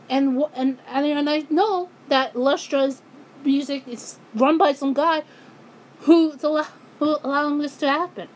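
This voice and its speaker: A woman ranting angrily in a vlog, hoarse and losing her voice, often breaking off and restarting her sentences. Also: high voice, low-quality recording. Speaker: YouTuber.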